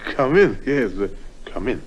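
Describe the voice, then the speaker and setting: Nervous crook, swooping pitch. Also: male voice, sing-song, unhurried, slight background noise. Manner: hesitant, stammering. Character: nervous, resigned male small-time crook. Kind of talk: old-time radio drama acting.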